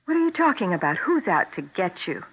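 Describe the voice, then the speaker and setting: An actress playing a worried, bewildered wife in a 1970s radio drama, questioning and exasperated. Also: female voice, medium pitch, very animated, fast talker, breathy edge. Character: worried, bewildered wife confronting her troubled husband. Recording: narrow tinny old radio broadcast.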